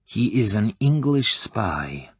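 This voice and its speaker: A man voicing a cruel middle-aged female Soviet colonel who interrogates with an oily, smooth, falsely motherly manner, dropping at times into soft whispers. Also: fairly low pitch, sing-song, slow, no echo, pitched above the performer's own voice, exaggerated voice. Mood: oily, coaxing.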